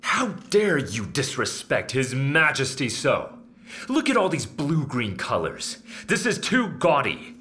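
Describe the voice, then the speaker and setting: An actor playing a stern, haughty older headmaster in a radio drama, with a pompous, scolding manner. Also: male voice, mid-range voice, wildly swooping pitch, unhurried, bright, forceful tone, exaggerated voice. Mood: indignant. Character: stern, haughty older male headmaster of imperial painting academy.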